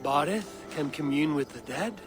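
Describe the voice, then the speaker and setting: An actor playing a calm, blunt, loyal grown Viking warrior in a video game, speaking in a measured, plain way. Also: male voice, on the high side, music in the background, close to an everyday voice. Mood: calm, direct. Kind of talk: video game cinematic acting.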